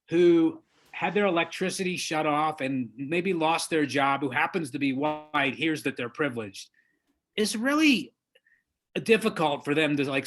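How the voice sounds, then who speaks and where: Earnest, measured man with a higher voice. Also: a bit bright. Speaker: author, lawyer and diversity trainer. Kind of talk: interview guest, conversational.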